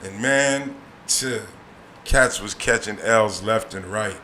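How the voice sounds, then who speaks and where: A man telling a profane comedy story on a podcast, loud and animated, slipping into voices of the people in it. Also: lowish voice, takes it slowly. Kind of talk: profane storytelling, casual chat. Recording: echoey room with faint noise.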